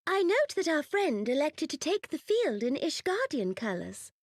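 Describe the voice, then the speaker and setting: A woman playing a gracious, poised and diplomatic noble dignitary in a fantasy game, with formal, measured courtesy. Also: high voice, very animated, no room sound, studio quality. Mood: gracious approval. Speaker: voice actor in a fantasy video game. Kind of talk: cinematic game cutscene acting.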